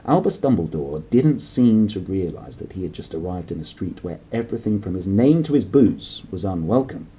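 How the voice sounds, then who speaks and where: Animated, low-voiced man reading a story. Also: normal speed, hardly any pauses, low-quality recording. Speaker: storybook reader. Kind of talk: expressive children's audiobook narration.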